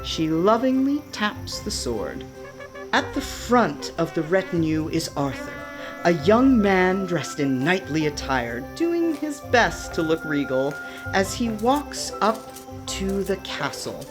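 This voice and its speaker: A woman's voice: lowish voice, very animated, slow pace. A woman narrating a radio play from a script, setting out each scene as storyteller. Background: music.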